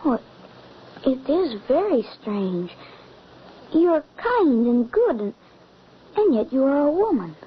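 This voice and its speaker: A woman's voice: high voice, very animated, takes it slowly, long pauses, full character voice. A woman playing an earnest, haunted eleven-year-old boy in an old-time radio drama, on a muffled, hissy recording. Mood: wondering.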